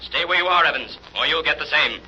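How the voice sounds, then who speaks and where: A man's voice: a little high, fast, close to an everyday voice. An actor playing a firm, menacing invisible male crime-fighter in a golden-age radio drama. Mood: commanding. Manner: commanding, firm. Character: unseen, commanding male masked avenger, cool and menacing. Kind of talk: 1940s radio acting. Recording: muffled, noisy old radio recording.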